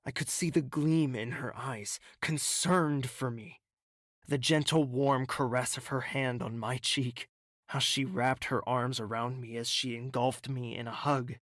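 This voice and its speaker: A man's voice: medium pitch, steady tone, unhurried, a bit bright, dry recording, clean studio sound, close to an everyday voice. A man playing a troubled, self-doubting young royal in an audio drama, with an earnest, hesitant, introspective manner. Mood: anguished, brooding.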